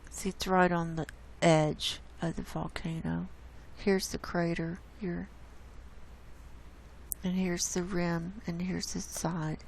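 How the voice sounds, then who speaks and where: A hoarse woman hosting her own channel, walking casually through earthquake maps on screen and pointing out each spot with an unhurried, gravelly delivery. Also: fairly low pitch, level tone, slight background noise. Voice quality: gravelly, coughs and clears throat. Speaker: online science channel host. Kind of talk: casual screen-share data report. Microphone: desk mic.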